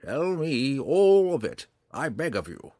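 A man's voice: medium pitch, huge pitch swings, measured pace, a bit bright, no room sound, clean studio sound, exaggerated voice. A man voicing an earnest, emphatic old male ranger in a fantasy audiobook. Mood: somber, pleading.

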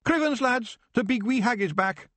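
A man voicing a tiny, rowdy male fairy warrior with a Scottish accent and a high, squawking, boisterous manner. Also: fairly quick, fairly bright tone, no room sound.

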